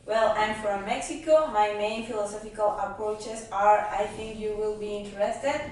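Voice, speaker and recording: A woman's voice: mid-range voice, fairly even intonation, moderate pace, darkish tone, close to an everyday voice. A woman playing an eager, polite scholar as a guest in a talk-show skit. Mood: explaining. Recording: echoey room, distant mic, faint noise.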